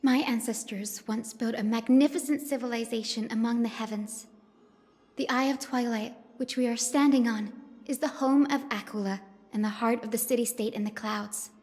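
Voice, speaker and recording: A woman voicing a gentle, earnest sky-born maiden. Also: on the high side, steady tone, normal speed, lightly acted. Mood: solemn. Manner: soft, earnest storyteller, rising to solemn invocation. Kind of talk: game cutscene voice acting. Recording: long reverb with faint music.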